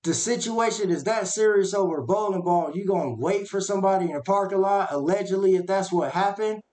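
A man's voice: sits high, brisk, a little mellow. A man hosting a reaction video from a small home room at a webcam, talking earnestly and casually, with a lot of "man" and "y'all". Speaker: reaction channel host. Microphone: webcam at desk.

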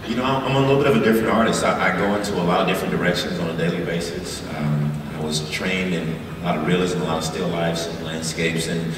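A man speaking relaxed and halting as a panel guest on a convention stage. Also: deep voice, background noise. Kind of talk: casual onstage conversation. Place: large convention hall stage. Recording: echoey hall heard from far off.

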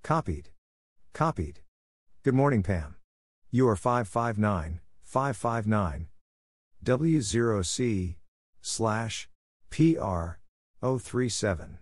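A man with a lowish voice narrates Morse code practice in short, deliberate phrases. His delivery is slow, with lively swings in pitch. He reads call signs and stock radio exchanges piece by piece. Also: no echo, clean studio sound. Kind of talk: scripted instructional readout of radio exchanges.

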